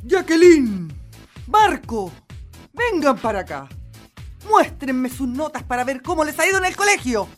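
A woman's voice: high voice, very animated, measured pace. A woman playing a cruel, bullying mother in a radio play, with a menacing, gloating manner. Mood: bossy. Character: cruel, wicked, bullying old mother, worst woman in the world. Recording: music behind the voice.

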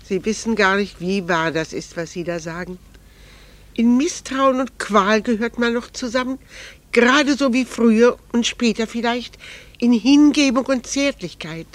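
An actress in a German radio drama playing a proud, candid, melancholy married woman, speaking in a measured, formal and earnest way. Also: fairly high pitch, close to an everyday voice. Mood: anguished, earnest.

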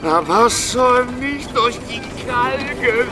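A man's voice: very high voice, animated, slow, noisy background, exaggerated voice. A man in a German radio play playing a frightened, pleading passenger on a carriage ride. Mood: alarmed pleading.

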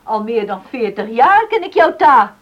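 An actress playing a firm, motherly older village woman in a Dutch radio play, husky and admonishing. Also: fairly high pitch, big pitch swings, normal speed, dull audio.

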